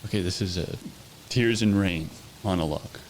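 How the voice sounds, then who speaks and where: Deep-voiced joking podcast host. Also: male voice, normal speed, a little hiss or hum. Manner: joking, laid-back, laughs easily. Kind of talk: casual chat. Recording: ambient electronic music and rain behind.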